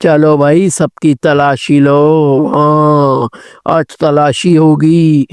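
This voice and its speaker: A woman voicing an elderly male rabbit uncle, a stern village accuser in a children's story, speaking in a deliberate, declamatory way. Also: low-pitched, level tone, darkish tone, clean tone, dry recording, pitched below the performer's own voice. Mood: commanding.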